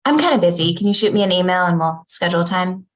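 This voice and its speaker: Woman reading as curt, unbothered boss, lively pitch. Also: quick pace, lightly acted. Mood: brisk, unimpressed. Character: Joanne, cool, unbothered woman boss aged forty. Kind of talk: table read of a TV pilot script.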